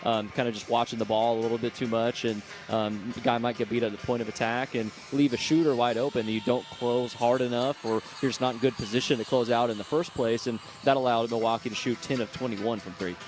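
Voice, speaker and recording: Male sports radio analyst, brisk and conversational, mid-pitched, music underneath. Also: steady tone.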